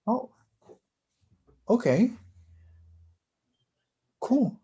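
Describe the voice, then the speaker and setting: A woman's voice: on the high side, sing-song, very slow, mellow, dull audio, full character voice. A woman playing a wounded, defensive, self-blaming younger brother in a naturalistic staged play reading. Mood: sheepish, surprised.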